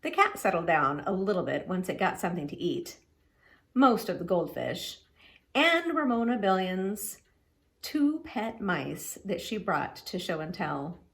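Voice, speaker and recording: A woman reading a children's story aloud in an expressive way, leaning dramatically on key words. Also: on the low side, measured pace. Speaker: children's book reader.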